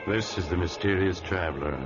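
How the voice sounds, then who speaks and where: An actor playing a mysterious, ominous traveler who introduces eerie tales in formal 1940s radio style. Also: male voice, low-pitched, a little fast, loud music behind the voice, lightly acted. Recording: dull, distant old radio recording.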